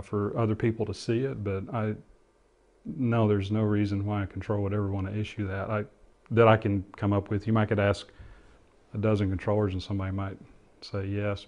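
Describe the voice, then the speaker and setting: A middle-aged man giving interview testimony in a halting, reflective way as he explains what he remembers. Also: fairly low pitch, a little mellow. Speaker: interviewee, former air traffic controller and pilot.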